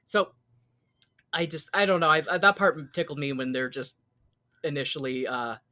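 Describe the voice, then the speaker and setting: A man's voice: fairly high pitch, moderate pace, sharp tone, dry recording, clean studio sound. An American man hosting a podcast, telling a story in a playful, jokey, casual way. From Mountain West United States. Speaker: podcast host. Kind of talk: recapping a musical, casual joking chat.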